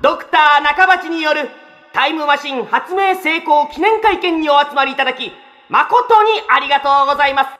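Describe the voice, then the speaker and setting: An actor playing a formal, eager announcer at a press event in a visual novel. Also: male voice, extremely high pitch, normal speed, bright, forceful tone. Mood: formal, upbeat.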